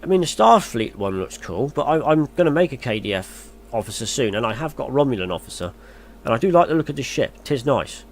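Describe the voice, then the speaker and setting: A man's voice: mid-range voice, big pitch swings, quick pace. A man reading gaming news aloud as a YouTuber, then musing in a hesitant, chatty way. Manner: muses aloud, hesitant and chatty when commenting. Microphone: voice-over on a screen recording.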